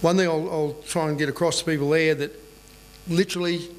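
A man's voice: a little high, fairly even intonation, brisk, a little hiss or hum. A middle-aged Australian man giving a conference presentation in a plain, conversational way, often checking in with the room. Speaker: conference speaker. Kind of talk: presentation talk. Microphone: boom mic on a lectern stand.

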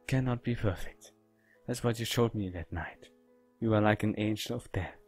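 A man voicing a devoted, obsessive young woman in love, soft and adoring, in a visual novel read-aloud. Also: lowish voice, normal speed, faint music behind, natural voice.

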